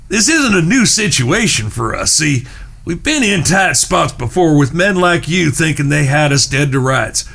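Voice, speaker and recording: An actor playing a shrewd, menacing Old West gunslinger, speaking in a deliberate drawl in an audio drama. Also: male voice, on the high side, creaky, a bit bright, husky, exaggerated voice. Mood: cold menace. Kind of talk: western audio drama acting.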